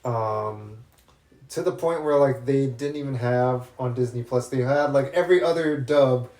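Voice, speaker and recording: A man in an echoey room, chatting casually about movies. He rambles, often restarts his sentences, and gets eager about what he likes. His voice sits at an ordinary middle pitch. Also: moderate pace, a little mellow. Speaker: podcast co-host.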